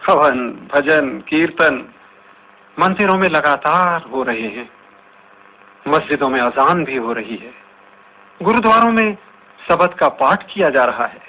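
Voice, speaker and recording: An actor playing an anguished, frightened man lamenting in a theatrical, halting radio drama style. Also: fairly high pitch, animated. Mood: anguished, fearful. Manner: slow, dramatic, halting delivery. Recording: tinny, band-limited, noisy old radio recording.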